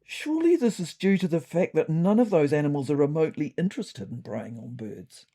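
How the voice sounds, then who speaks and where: A woman with a New Zealand accent voicing an inscrutable, sceptical male cat who cross-examines in measured, formal language. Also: low-pitched, animated, mellow, husky, full character voice.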